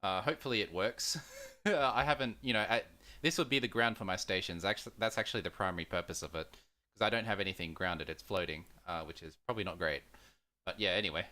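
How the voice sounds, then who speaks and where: Low bright-voiced man at his radio. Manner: thinking aloud, hesitant with fillers. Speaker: ham radio operator. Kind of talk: casual radio chat.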